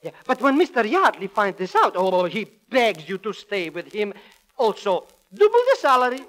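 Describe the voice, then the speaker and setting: Excitable schemer, swooping voice. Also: male voice, high-pitched, normal speed, full character voice. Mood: sly, gleeful. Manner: wheedling, sing-song, foreign-English phrasing. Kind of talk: 1940s radio comedy acting. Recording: vintage 1940s radio broadcast.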